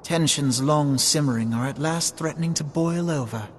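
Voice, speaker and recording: An actor playing a composed, erudite young male political advisor in a video game, speaking formal, measured English. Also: a little high, slight background noise, lightly acted. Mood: grave, explanatory. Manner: articulate, formal, explanatory, measured. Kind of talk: scripted video game cutscene dialogue.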